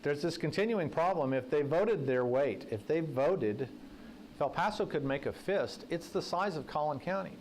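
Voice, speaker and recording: A man's voice: a little high, animated, normal speed, darkish tone, a little hiss or hum, rough recording. A man who is a political journalist, giving a conversational talk to a breakfast audience in a folksy, anecdotal way with joking asides. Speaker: guest speaker, political journalist. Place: breakfast event room.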